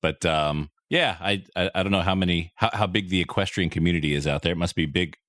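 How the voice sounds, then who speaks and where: A man's voice: sits low, fast, a bit bright, no room sound, clean studio sound. A man hosting a podcast interview, asking curious, chatty questions. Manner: curious, chatty, laughs often. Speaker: podcast host.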